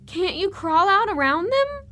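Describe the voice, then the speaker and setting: An actress as a blind woman trapped in a horror drama, her voice high and very lively in pitch. She is scared but sharp-tongued, tense and quick to turn sarcastic. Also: exaggerated voice. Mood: urgent. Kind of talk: audio horror drama acting.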